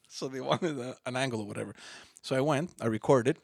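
A man's voice: mid-range voice, moderate pace, gravelly, a little mellow, no room sound, clean studio sound. A man with a Montreal English accent, a podcast guest telling stories in easygoing chat. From Montreal, Canada. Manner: easygoing storyteller, laughs often. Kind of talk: casual chat.

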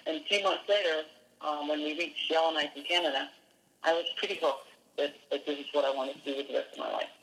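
A man's voice: fairly high pitch, steady tone, fast talker, a bit bright, rough recording. A man from Denmark, a dog musher, answering interview questions in a hesitant way with many fillers and restarts. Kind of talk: casual interview.

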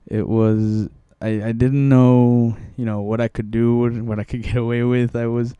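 A man's voice: mid-range voice, little pitch movement, moderate pace, dark tone, smooth, no echo, highs cut off. A young man from Mexico, telling his story in a casual interview, rambling with many restarts and fillers. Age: young adult.

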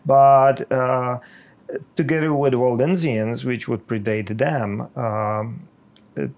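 A middle-aged man from the Czech Republic with a Czech accent, a pastor speaking slowly and haltingly with many pauses in a podcast conversation. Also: medium pitch, fairly even intonation, a little mellow.

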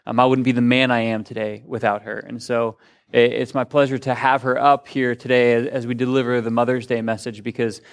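A man, the pastor, introducing his wife to the congregation in an earnest, halting way, full of fillers and restarts. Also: medium pitch, little pitch movement, gravelly. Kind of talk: sermon introduction. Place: church sanctuary in front of a congregation.

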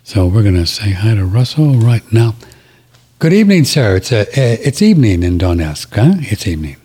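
A man's voice: lowish voice, big pitch swings, moderate pace, warm tone, husky. A man hosting a live talk radio show, rambling casually with many fillers.

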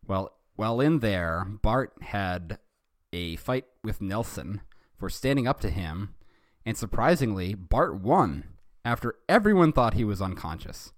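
Brief: chirpy, chatty young story author, as a man imagines him pitched up, lively swoops, slow and clear. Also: no echo, studio quality. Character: chirpy young fan-fiction author addressing readers.

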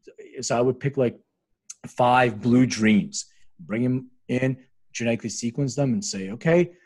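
A man's voice: mid-range voice, moderate pace, a little mellow. A middle-aged American man telling his story conversationally as a podcast guest, in an informal, rambling, explanatory way. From Philadelphia, US, born in Lithuania. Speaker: interview guest, medicinal cannabis company founder.

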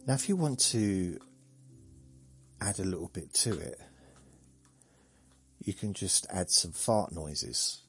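A man hosting a sleep podcast, talking slowly in a meandering, deadpan, joking way with faint music behind. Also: sits low, breathy edge. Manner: slow, meandering, deadpan humor. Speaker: podcast host. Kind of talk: rambling sleepy monologue.

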